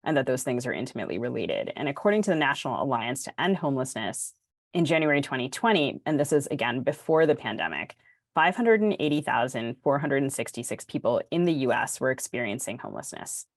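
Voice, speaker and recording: A woman's voice: a bass voice, very animated, fast, dry recording, studio quality. A woman with an American accent, hosting a podcast with introductions and discussion. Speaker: podcast host. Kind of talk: podcast interview and scripted introduction.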